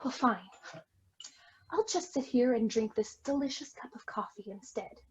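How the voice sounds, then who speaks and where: A dull, lo-fi recording of a woman playing a meddling twin sister in a stage farce. She is guileless and high-voiced, with a slightly husky edge. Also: moderate pace, a little mellow. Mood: sulky. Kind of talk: amateur stage farce acting over video.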